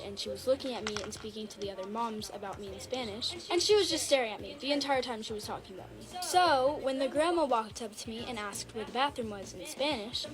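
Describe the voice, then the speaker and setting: A woman who works at a party venue telling a story in an earnest, indignant way. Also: a little high. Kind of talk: storytelling to camera.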